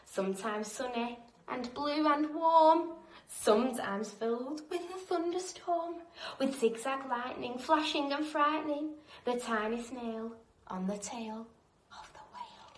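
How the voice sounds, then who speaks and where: A woman reading a rhyming children's story aloud like a primary teacher, with expressive, dramatic emphasis. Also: sits high. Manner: animated, dramatic emphasis with audible gasps. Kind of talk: expressive picture-book read-aloud. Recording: roomy, echoing indoor sound.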